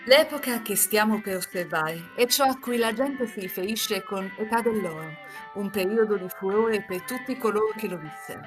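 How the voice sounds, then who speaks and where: A woman's voice: mid-range voice, normal speed. A woman, reading and chatting on a live stream over an online call. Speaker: live-reading performer and narrator. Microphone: computer microphone on online call. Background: music.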